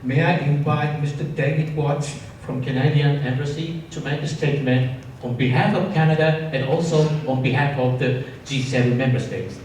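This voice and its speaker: A man's voice: a little high, normal speed, few pauses, a little mellow. A man acting as moderator, formally introducing and thanking guest speakers at an event in a large hall. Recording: echoey hall, distant, faint crowd and noise.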